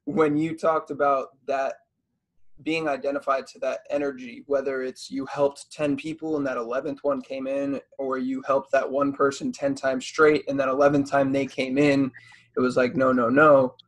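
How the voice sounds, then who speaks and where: A man's voice: medium pitch, little pitch movement, moderate pace, a little hiss or hum. A man in his early twenties with an American accent, hosting a casual interview in slangy, informal phrasing. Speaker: podcast host.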